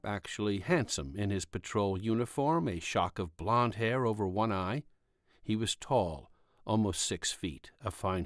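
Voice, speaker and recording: Expressive male audiobook narrator, very dry sound. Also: on the low side, no room sound. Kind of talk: scripted fiction narration. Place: home studio. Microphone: cardioid condenser microphone with pop filter.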